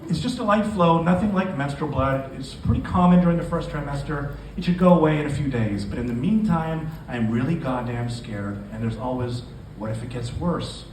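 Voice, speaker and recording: A man's voice: medium pitch, normal speed, hardly any pauses, darkish tone, natural voice. A man reading aloud the part of an anxious, hurt pregnant woman in literary fiction, emphatic and pleading. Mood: frightened, pleading. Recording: echoey live room, distant mic, noisy.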